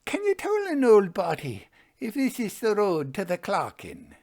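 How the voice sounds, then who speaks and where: A man's voice: high-pitched, wildly swooping pitch, a little slow, gravelly, a little mellow, pitched above the performer's own voice, exaggerated voice. A man voicing a pitiful, bewildered old beggar woman in a storybook tale, plaintive and rustic in her phrasing.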